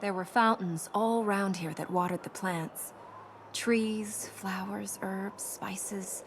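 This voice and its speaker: A young game princess, kinda sad and faithful, measured, wistful, noisy ambience behind her. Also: medium pitch, steady tone. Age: young adult. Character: earnest, melancholy young princess companion, faithful, grieving. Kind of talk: video game voice acting.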